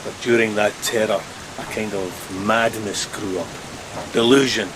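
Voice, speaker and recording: A man plays an educated, respectful first mate in a gothic radio play. His voice is on the low side, measured and earnest. Steady rain is clearly heard behind it. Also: lightly acted. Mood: grave explaining. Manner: measured, explanatory, deferential. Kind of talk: gothic horror audio drama acting. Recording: loud steady rain noise.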